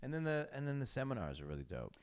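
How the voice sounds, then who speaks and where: A young man hosts a casual podcast chat. He talks fast, loud and eager, tumbling over his words and starting sentences again. His pitch sits in an everyday middle range. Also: sing-song, clear, resonant tone. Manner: loud, eager, rambling with restarts. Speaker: podcast host.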